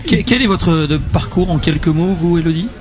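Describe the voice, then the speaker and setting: A man's voice: on the high side, brisk. A man hosting a festival radio show, upbeat and encouraging as he introduces the team and guest. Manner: upbeat, encouraging, laughs along. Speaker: radio host.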